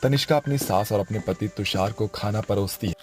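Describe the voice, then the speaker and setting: Guy with a lowish voice telling a cartoon family story, music behind. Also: a little fast, darkish tone. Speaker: narrator. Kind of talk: scripted cartoon story narration.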